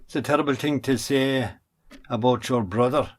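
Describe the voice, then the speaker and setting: A man's voice: mid-range voice, fairly even intonation, normal speed, lightly acted. A man playing a mild, forgiving brother in an amateur drama. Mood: mild reproach. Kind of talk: amateur stage drama acting.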